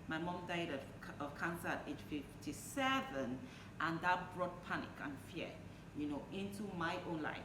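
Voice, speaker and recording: A woman speaking as an interview guest, sharing personal testimony in conversation. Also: fairly low pitch. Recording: echoey room, distant mic, noisy background.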